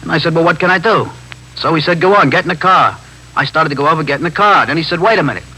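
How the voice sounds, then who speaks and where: An actor playing a nervy, talkative small-time drug pusher in a 1950s radio drama, rambling on in streetwise slang and justifying himself. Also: male voice, on the high side, fast talker, bright, forceful tone, husky. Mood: rambling, rueful. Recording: noisy 1950s radio broadcast recording with hum.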